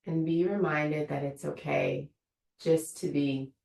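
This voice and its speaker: A low-voiced woman guides a meditation, her words unhurried and gently inviting. Her voice has a gravelly edge. She sounds a little away from the mic, and the room gives it an echo. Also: fairly even intonation, far from the mic. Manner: slow, soothing, invitational guidance. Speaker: meditation teacher. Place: room of an online yoga studio.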